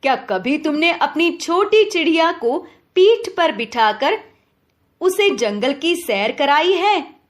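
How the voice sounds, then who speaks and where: A woman voicing a stern but fair lion king in a children's moral story, speaking firmly and deliberately. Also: high-pitched, lively intonation, pitched above the performer's own voice. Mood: scolding. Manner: firm, admonishing, deliberate.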